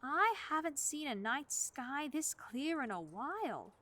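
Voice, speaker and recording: A woman playing an elderly widowed neighbor in her seventies in a radio play, speaking gently and plainly with a wry edge. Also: high voice, big pitch swings, unhurried, dry recording, exaggerated voice. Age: 70s. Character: kindly widowed old woman neighbor, churchgoing.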